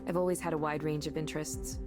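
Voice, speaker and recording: Woman acting chatty, self-effacing low-voiced young woman. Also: fast talker, natural voice. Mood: thoughtful. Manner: conversational, animated, self-effacing. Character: self-deprecating, chatty, curious young woman with artistic leanings. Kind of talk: naturalistic visual novel acting. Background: game music.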